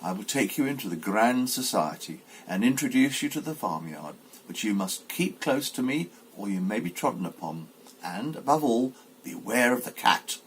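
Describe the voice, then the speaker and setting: Man voicing a fussy mother duck, lively swoops. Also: mid-range voice, moderate pace, a little hiss or hum, close to an everyday voice, rough recording. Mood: bossy, protective. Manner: exclaiming, instructive. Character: fussy, proud mother duck, protective and weary.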